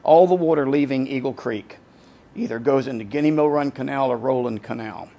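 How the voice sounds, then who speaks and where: A man speaking as a resident at a public hearing, earnest and halting, repeating words as he goes, his voice going hoarse. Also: medium pitch, warm tone. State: losing his voice. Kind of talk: public comment at a meeting.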